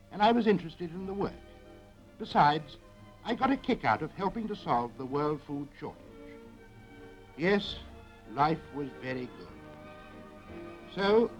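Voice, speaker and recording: A man's voice: medium pitch, muffled recording, close to an everyday voice. A man playing an ordinary husband in a 1940s information film, telling his story in a chatty, understated way. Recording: 1940s film soundtrack with music.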